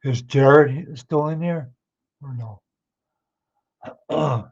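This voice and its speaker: A man hosting a livestream, chatting casually with viewers and answering their comments, his voice a bit hoarse. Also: mid-range voice, very slow, darkish tone. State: hoarse, clearing his throat. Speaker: livestream host. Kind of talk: casual chat with live viewers. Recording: somewhat dull, lo-fi stream audio.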